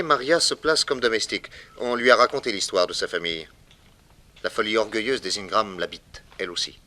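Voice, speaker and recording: Bright, theatrical detective, animated delivery. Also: male voice, on the low side. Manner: theatrical, declamatory, emphatic. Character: shrewd, confident male private detective revealing the culprit. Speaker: detective hero and narrator. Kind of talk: 1960s French radio crime drama acting.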